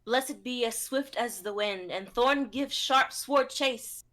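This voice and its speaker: A woman's voice: fairly high pitch, slow, fairly bright tone. A young woman playing a blunt, capable spell-casting warrior in an amateur script reading, firm and matter-of-fact. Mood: incanting. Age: young adult.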